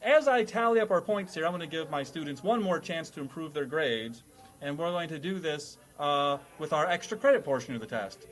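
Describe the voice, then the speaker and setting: Mock-formal male game host, higher-pitched voice. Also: lively intonation, a little fast, fairly bright tone, rough recording. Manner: mock-formal, teacherly, jokey. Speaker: host playing teacher of a comedy panel game. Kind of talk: comedic classroom quiz hosting.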